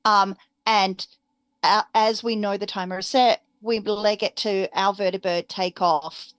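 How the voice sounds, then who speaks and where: A woman's voice: mid-range voice, fairly even intonation, unhurried, fairly bright tone, clean tone, no room sound. A woman talking strategy casually and decisively as a player in a tabletop game.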